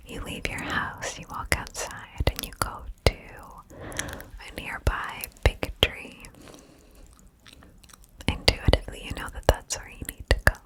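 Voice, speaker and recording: A woman hosting ASMR, leading a guided visualization in a soothing, breathy whisper with gentle pauses. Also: poor audio. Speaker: ASMR video host.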